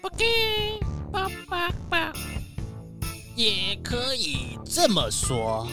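A woman's voice: mid-range voice, wildly swooping pitch, drawn-out words, music in the background, exaggerated voice. A woman voicing an eager, dutiful animal locksmith in a children's audio drama, stuttering and squawking between phrases. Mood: dutiful, excitable.

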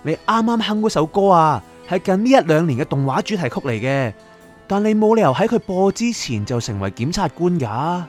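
A man acts a chatty, inquisitive lost soul in a radio drama. His voice swings widely in pitch, eager and coaxing, with music underneath. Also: very animated, brisk. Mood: curious, excited. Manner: eager, wheedling, explanatory. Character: curious, talkative bargaining soul of an anime fan. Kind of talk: Cantonese radio drama acting.

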